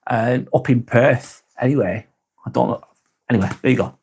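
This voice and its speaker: A man's voice: medium pitch, normal speed, a little mellow, slightly rough. An English man hosting a live vlog, chatting casually with viewers, often correcting himself and laughing easily. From England. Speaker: live vlog host reading chat. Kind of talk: casual livestream chat.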